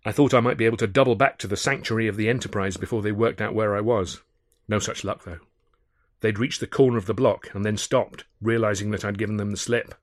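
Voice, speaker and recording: Low-voiced man narrating a story. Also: no echo, studio quality. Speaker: author narrating own audiobook. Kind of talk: scripted first-person comic adventure narration.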